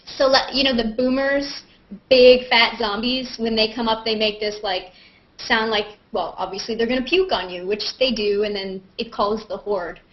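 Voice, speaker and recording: A woman's voice: a little high, fairly even intonation, normal speed. A woman chatting casually on a livestream, rambling and self-mocking as she thinks aloud while answering questions. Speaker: actress and vlogger hosting a live Q&A. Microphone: video call on a computer. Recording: dull lo-fi webcam audio.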